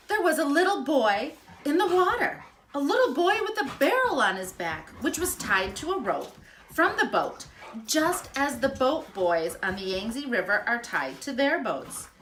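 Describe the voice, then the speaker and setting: Slow, lively woman reading a storybook aloud. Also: high voice, a little hiss or hum. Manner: expressive, emphatic storytelling with repeated words. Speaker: school principal reading aloud. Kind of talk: children's storybook read-aloud.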